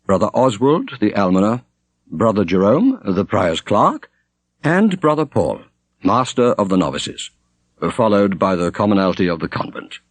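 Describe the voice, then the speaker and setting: A man narrating an audiobook in a measured, expressive storytelling style with formal diction. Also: lowish voice, no echo. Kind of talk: scripted literary narration, storytelling.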